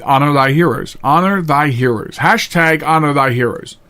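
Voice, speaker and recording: Guy doing a podcast solo, kinda higher voice, earnest and plain spoken, halting, repeats himself to make the point. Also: pushed and bright. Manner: halting, with frequent repetition of phrases for emphasis. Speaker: podcast host. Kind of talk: casual unscripted podcast monologue.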